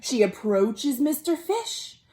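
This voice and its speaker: Very slow, high-voiced woman storytime reader. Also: big pitch swings, mellow. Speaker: children's librarian, storytime reader. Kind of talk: expressive read-aloud for young children.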